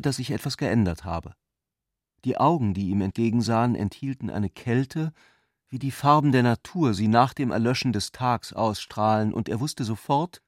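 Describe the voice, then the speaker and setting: A man's voice: medium pitch, a little mellow, no room sound, clean studio sound. A man reading scripted literary narration for a radio play as its storyteller.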